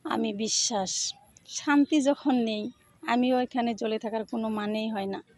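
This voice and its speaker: Woman voicing a forlorn talking candle, fairly high voice. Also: fairly even intonation, moderate pace, darkish tone, no echo, lightly acted.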